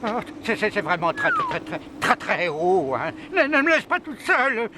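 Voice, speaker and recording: A man's voice: very high-pitched, wildly swooping pitch, normal speed, very noisy, exaggerated voice. A man voicing a fussy, anxious toy creature with a pedantic, easily flustered manner in a cartoon dub. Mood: nervous. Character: fussy, anxious male toy creature, self-styled expert. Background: background music.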